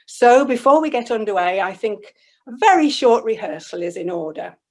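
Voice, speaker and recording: A woman's voice: medium pitch, lively intonation, moderate pace. A woman hosting a radio play, addressing the audience in a formal, courteous scripted style. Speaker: host of a live radio play.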